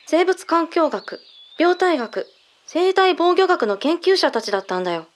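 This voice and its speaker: Audio drama role: composed intelligence briefer played by a woman, high voice, blunt and matter-of-fact. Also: big pitch swings. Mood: composed, serious. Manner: measured, matter-of-fact, blunt masculine phrasing. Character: calm intelligence colleague briefing secret findings.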